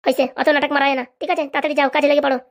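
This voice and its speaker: High-pitched, rapid-fire bossy begging-gang leader by a woman. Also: flat delivery. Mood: scolding, sarcastic. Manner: scolding, sarcastic, demanding. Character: bossy, grumbling, crooked ringleader of a begging racket. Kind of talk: comic cartoon dialogue.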